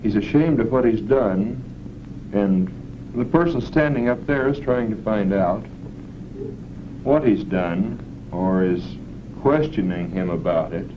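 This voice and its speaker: A man's voice: fairly low pitch, expressive, a little slow. A man in a filmed test, describing pictures hesitantly and often restarting with "I mean". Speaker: test participant. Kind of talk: unscripted answers in an experiment. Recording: dull, hissy 1940s film soundtrack.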